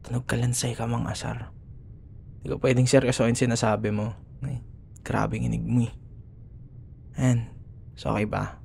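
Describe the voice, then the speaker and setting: A man's voice: mid-range voice, flat delivery, normal speed, gravelly, long pauses, a little mellow, a little hiss or hum. A man with a Filipino accent playing a grumbling, teasing young school rival who secretly cares, in a sarcastic, casual ASMR boyfriend roleplay. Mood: concerned, teasing. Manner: sarcastic, casual bickering with sighs and murmured asides.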